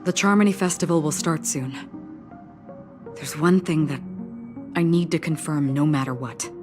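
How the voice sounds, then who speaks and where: A woman's voice: sits low, moderate pace, lightly acted. A woman voicing an enigmatic, solemn game heroine who talks in a grave, understated way. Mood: solemn, resolute. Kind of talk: video game voice acting. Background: game soundtrack music.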